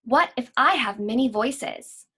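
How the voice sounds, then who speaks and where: High-pitched woman teacher, unhurried pace. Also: animated, sharp tone, breathy edge. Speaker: school library teacher. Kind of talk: lesson for children, with poem read-alouds.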